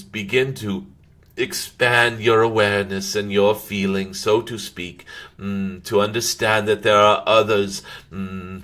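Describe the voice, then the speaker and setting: Casting: male performer as a benevolent golden dragon spirit, low-pitched, very slow and halting, ceremonial phrasing, humming between phrases, spiritual live-stream channeling. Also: fairly bright tone. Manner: halting, with frequent drawn-out hums.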